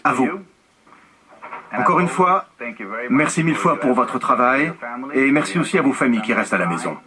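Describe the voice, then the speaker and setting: A man's voice: mid-range voice, animated, moderate pace, crowd noise, natural voice, rough recording. A middle-aged man dubbing a documentary subject in an earnest, heartfelt voice-over. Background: music.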